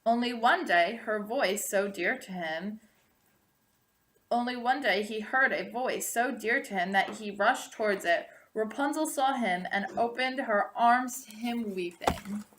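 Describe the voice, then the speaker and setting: A woman narrating a storybook aloud, expressively and deliberately, drawing out the ends of phrases. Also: fairly high pitch, fairly bright tone, a little hiss or hum. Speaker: storybook reader.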